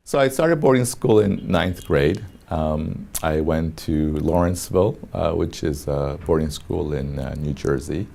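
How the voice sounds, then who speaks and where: Very deep gravelly man in his fifties. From South Korea. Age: 50s. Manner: halting, many ums and uhs, soft chuckles. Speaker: attorney interviewee. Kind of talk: reflective personal storytelling.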